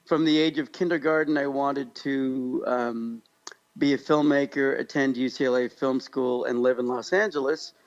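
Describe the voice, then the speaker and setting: A man's voice: mid-range voice, steady tone, normal speed, highs cut off. An American man co-hosting a podcast in casual conversation, telling stories in an upbeat, joking way. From Pakistan-born, grew up in Kansas, United States. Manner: upbeat, jokey storytelling. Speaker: podcast co-host.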